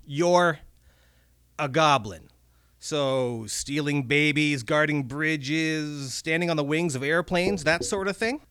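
A man's voice: on the high side, slow, fairly bright tone, smooth, no room sound, pitched above the performer's own voice. A man voicing a young American man who is nervous and sarcastic, stammering with disbelief. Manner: stammering, incredulous.

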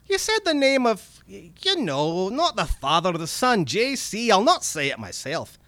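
A man voicing an old male goblin, a red cap, with a gruff, folksy manner. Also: sits high, wildly swooping pitch, pushed and bright, no echo, voice pushed up, full character voice. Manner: gruff, folksy, drawn-out swearing.

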